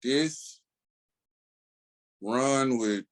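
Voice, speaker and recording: A man with an American accent chatting casually as a podcast co-host, telling things in a slangy, animated, halting way. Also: mid-range voice. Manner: slangy, animated storytelling with many filler pauses. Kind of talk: casual chat.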